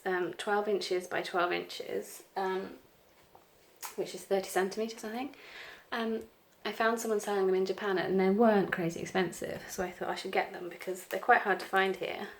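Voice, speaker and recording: A middle-aged woman with a croaky cold voice, chatting casually and apologetically at her craft desk, with lots of ums. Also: medium pitch, fairly even intonation, normal speed, a little hiss or hum. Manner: rambling, apologetic, frequent ums. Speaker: planner vlogger. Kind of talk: casual chat over crafting. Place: home craft desk, indoors.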